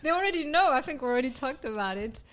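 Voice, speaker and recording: Chatty Moroccan-born woman, higher voice. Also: expressive, fast, a crowd in the background. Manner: chatty, laughs often, many restarts. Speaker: podcast co-host. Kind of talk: casual chat.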